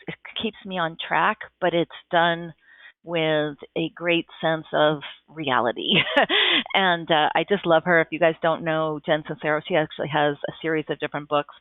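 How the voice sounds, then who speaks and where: Woman with a gravelly voice on a phone line. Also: low voice, no echo. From United States. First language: Japanese. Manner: enthusiastic, thoughtful, frequent restarts. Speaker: podcast guest. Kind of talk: casual interview answers.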